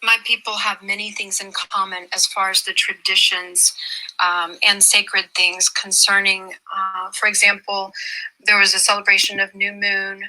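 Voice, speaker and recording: A man from the Cherokee Nation explaining in a measured way during a TV interview, listing examples. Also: high-pitched, monotone, bright, forceful tone, low-quality recording. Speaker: interviewee in a TV clip.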